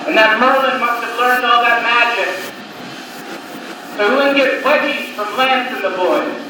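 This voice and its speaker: A distant, very echoey cassette recording of a man on stage doing stand-up. His voice is strikingly high for a man. He delivers one-liners deliberately, leaving long pauses between them. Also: steady tone. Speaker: stand-up comedian. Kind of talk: live stand-up comedy set of one-liners. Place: live comedy venue. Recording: noisy cassette tape, echoey room, distant mic.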